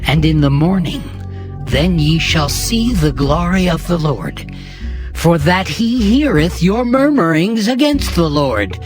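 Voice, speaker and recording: A man's voice: on the high side, very animated, slow, soft background music. A man playing a stern leader of Israel in a scripture audio drama, declaiming solemnly. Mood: solemn, reproving. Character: stern male leader of Israel addressing his people.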